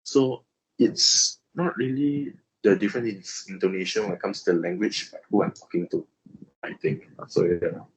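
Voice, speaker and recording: A man's voice: low voice, moderate pace, slight background noise, poor audio. A young Malaysian man with a Malaysian accent, answering interview questions casually and hesitantly, with many fillers and restarts.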